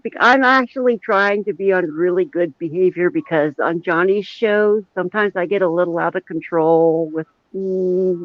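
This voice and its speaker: High-voiced middle-aged man, roomy lo-fi sound. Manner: self-deprecating, rambling. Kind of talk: casual live chat. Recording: dull lo-fi audio with roomy echo.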